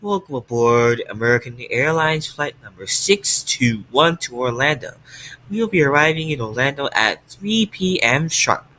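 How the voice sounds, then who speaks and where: A text-to-speech man voices an airline cabin announcer. The tone is bright and sharp. The delivery stays stiff and mechanical throughout. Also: medium pitch, expressive, normal speed, low-quality recording. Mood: instructive.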